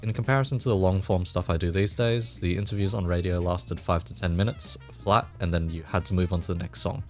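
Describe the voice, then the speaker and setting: A man with a very low voice hosting a relaxed interview over a call. He rambles easily from point to point, with quiet music under him. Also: a little fast. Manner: laid-back, rambling, laughs often. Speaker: interviewer, host. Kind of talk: casual interview chat.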